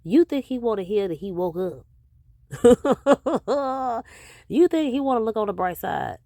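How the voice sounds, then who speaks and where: A woman hosting reaction commentary, sassy and mocking, with a naturally deep voice that is a little hoarse from a cold. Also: sing-song, dark tone, dry recording, clean studio sound. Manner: sassy, mocking, quick to laugh. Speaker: commentary host.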